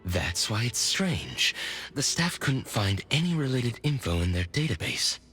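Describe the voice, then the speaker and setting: An actor playing a polite, earnest teammate in a video game, reporting in an expressive manner. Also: male voice, mid-range voice, unhurried, husky, full character voice. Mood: puzzled, earnest. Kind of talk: scripted video game voice acting. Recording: music behind the voice.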